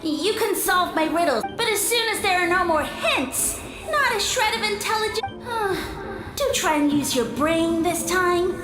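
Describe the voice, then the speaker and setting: An echoing, high-pitched voice of a scornful mushroom sage in a video game. The delivery is pompous and lilting, full of condescension, with music behind it. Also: female voice, animated, measured pace. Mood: disdainful.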